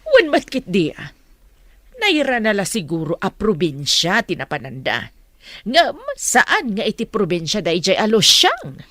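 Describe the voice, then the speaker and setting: Nosy, uneasy Ilocano mother, mid-pitched but wildly animated, for radio drama. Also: measured pace, no echo, clean studio sound, heavily acted. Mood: probing, uneasy.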